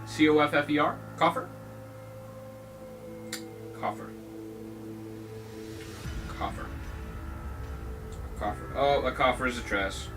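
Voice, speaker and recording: A man's voice: medium pitch, animated, slow pace, pauses often, recorded from a distance, music in the background. A man giving jokey, rambling let's-play commentary on a game, with mock-dramatic outbursts. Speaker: gaming video host.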